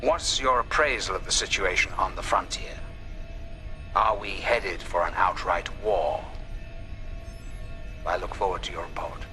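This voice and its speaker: A world-weary older admiral in a space game. The voice is low-pitched and very incisive, with polished formal phrasing. Soft music sits behind it. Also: male voice, measured pace, pauses often. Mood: urbane, weary. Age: older, 50s or 60s.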